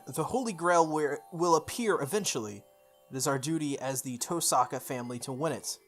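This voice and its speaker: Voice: mid-range voice, a bit bright. A game playthrough host expressively reading story text aloud, stumbling and correcting along the way, with off-the-cuff asides.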